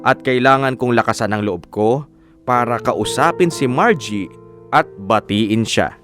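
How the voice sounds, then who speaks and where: An actor plays an earnest young Filipino man telling his own love story for a radio drama. His narration is sincere and measured, at a medium pitch. Clear plucked guitar music plays behind him. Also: lightly acted. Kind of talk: scripted first-person radio drama narration.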